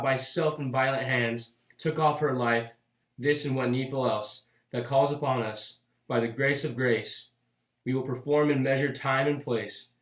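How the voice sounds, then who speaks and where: A young man playing a gracious, newly victorious young king in a Shakespearean radio play, with formal, measured oratory. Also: mid-range voice, lightly acted. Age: young adult. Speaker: student actor. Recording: echoey room sound.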